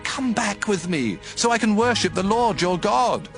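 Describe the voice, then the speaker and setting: Casting: an agitated, excuse-making king, very lively intonation, audio scripture drama over clear music. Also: sits high, measured pace. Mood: pleading. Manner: earnest, agitated, self-justifying.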